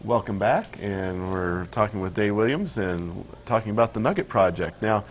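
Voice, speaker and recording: A man's voice: lowish voice, expressive, normal speed, highs cut off, faint music behind. A man hosting a local TV interview, asking questions in a loose way full of fillers and restarts. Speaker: TV show host.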